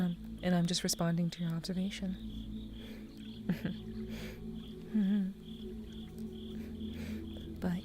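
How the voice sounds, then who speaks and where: Young woman whispering soft asmr chat, voice kinda scratchy from crying, lowish and even, music playing under it. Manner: soft, soothing, half-whispered. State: slightly scratchy voice after crying. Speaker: VTuber streamer.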